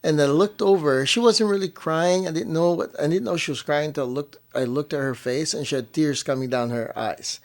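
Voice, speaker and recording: A man's voice: fairly high pitch, brisk, hardly any pauses. A young man talking as a parent co-host in casual storytelling, often repeating and rephrasing phrases for emphasis. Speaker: parent co-hosting a family show.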